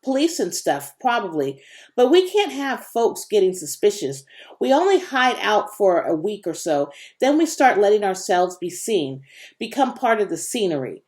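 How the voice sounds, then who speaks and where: A woman voicing a sharp, teasing, sardonic young girl in a children's storybook reading. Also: medium pitch, very animated, normal speed, lightly acted. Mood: matter-of-fact.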